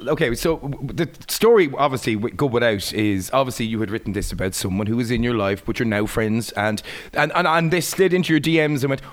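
A man hosting a radio interview, effusive and joking, with quick asides. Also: mid-range voice. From Ireland.

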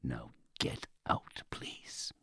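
A man acting in a darkly comic radio drama as a cynical, self-important show host, with a gravelly, husky voice and a theatrical, sardonic manner. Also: extremely slow, a bit bright, dry recording, in a whisper. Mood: bitter, confiding. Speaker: actor playing a radio host.

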